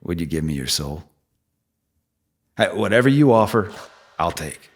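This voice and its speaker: A man's voice: low voice, sing-song, exaggerated voice. An actor playing a mysterious male stranger in an audio drama, a sly tempter who teases and coaxes without hurry. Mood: sly, taunting. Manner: teasing, coaxing, unhurried.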